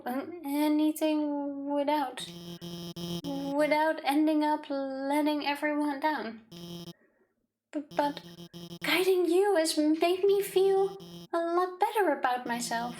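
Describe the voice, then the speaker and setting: A woman's voice: sits high, a little mellow, slight background noise. A woman voicing a shy, nervous, self-doubting young female scientist from a video game, stammering and earnest. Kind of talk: video game character voice-over.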